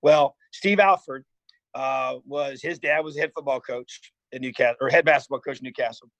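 A man's voice: medium pitch, moderate pace, fairly bright tone, no echo. A man in his fifties from Indiana, a football coach telling his life story in a casual interview, rambling and earnest with many restarts. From Indiana, USA. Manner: rambling, earnest, frequent "you know" and restarts. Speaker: head football coach, guest.

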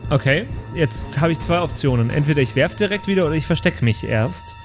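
A man's voice: on the high side, animated, brisk. Casual male role-player over music. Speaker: player in a tabletop role-playing game. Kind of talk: casual game-table talk. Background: music under the voice.

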